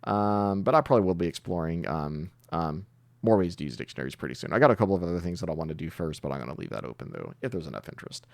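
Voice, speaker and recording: Casting: male tutorial host, very low and creaky voice, informal, thinks out loud, close dry sound. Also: animated, no room sound. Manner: thinking aloud, hesitant pauses. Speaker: tutorial host, programming instructor. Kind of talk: casual screen-share coding tutorial, improvised.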